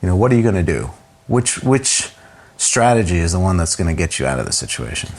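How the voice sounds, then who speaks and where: Calm, reflective low-voiced man. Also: brisk. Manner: reflective, calm, with frequent "you know" fillers. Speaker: interview guest, filmmaker and journalist. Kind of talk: conversational personal account.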